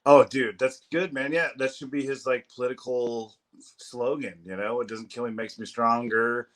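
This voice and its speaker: A man from Southern California chats casually as a podcast host over a video call. His voice sits at an ordinary middle pitch, and his talk is relaxed and slangy, full of "dude" and "man.". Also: moderate pace. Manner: laid-back, slangy, says "dude" a lot.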